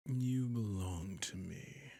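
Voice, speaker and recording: Possessive vampire lord voiced by a man, low husky rasp, slow purring menace, close dry mic. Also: dark tone, no echo, heavily acted. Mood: menacing. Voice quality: husky, gravelly, often whispered. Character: possessive sadistic male vampire master, cruelly seductive. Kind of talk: ASMR roleplay audio.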